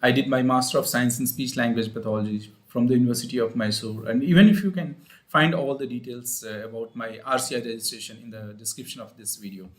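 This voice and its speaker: A man with an Indian accent giving an informal live explanatory talk as a speech therapist, in a halting, repetitive, teacherly manner. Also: medium pitch. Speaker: speech-language pathologist hosting an advice livestream.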